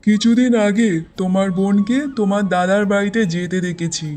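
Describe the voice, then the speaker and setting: Woman voicing a grumbling village husband, mellow tone. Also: medium pitch, fairly quick, close to an everyday voice. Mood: resentful. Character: village husband, grown man, suspicious and grumbling. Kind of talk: Bengali fairy-tale cartoon dubbing.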